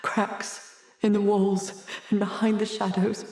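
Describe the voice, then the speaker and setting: A man's voice: high-pitched, monotone, slow pace, creaky, darkish tone, roomy sound, exaggerated voice, poor audio. A man playing a haughty, haunted asylum doctor in a video game, theatrical and erudite, fretful and hesitant, obsessed with his art. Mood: haunted, brooding. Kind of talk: dramatic video game dialogue.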